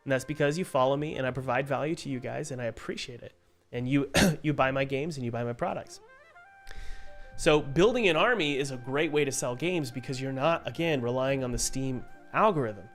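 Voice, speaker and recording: A young man hosting a casual live stream as an indie game developer, a bit hoarse from a cold, talking loosely with lots of fillers and restarts. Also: mid-range voice, a little fast, a bit bright, soft background music. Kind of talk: casual live-stream chat answering viewers. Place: home studio. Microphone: studio mic.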